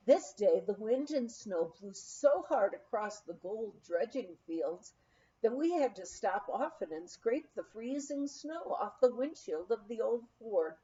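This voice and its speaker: A woman reading a family memoir aloud in a gentle storytelling way, voicing family members' lines in dialogue. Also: mid-range voice, dull audio. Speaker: reader of a memoir. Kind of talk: audiobook-style memoir reading.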